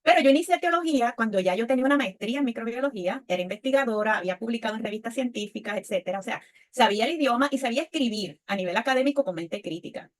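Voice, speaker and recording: A woman's voice: fairly high pitch, lively intonation, very fast. An older woman with a Puerto Rican accent gives a reflective personal testimony on a panel, often checking in with short tag questions. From Puerto Rico. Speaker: panelist, women's leadership speaker.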